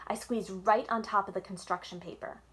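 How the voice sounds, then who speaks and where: A woman reading a children's book aloud as a storyteller, with expressive, childlike emphasis in a first-person narration. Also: medium pitch, a little fast.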